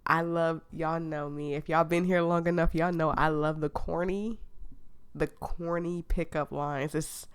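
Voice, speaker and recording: A woman's voice: deep voice, fairly even intonation, measured pace, clean tone. A woman, sick and losing her voice, reading a dating game aloud with giggly, playful asides to viewers. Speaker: gaming streamer.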